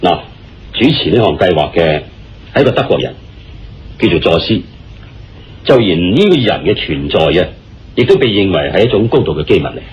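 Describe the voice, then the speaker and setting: A man acting as an earnest, deliberate male confidant in a radio play, explaining things with dramatic phrasing and a Hong Kong Cantonese accent. His voice is low and very animated in pitch. The recording is dull, with music playing behind. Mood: explanatory. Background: background music.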